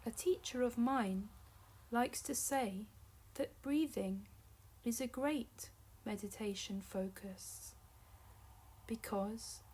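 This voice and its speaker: A woman narrating a guided meditation as a teacher, in measured short phrases separated by long pauses. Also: fairly high pitch, darkish tone, breathy edge, rough recording.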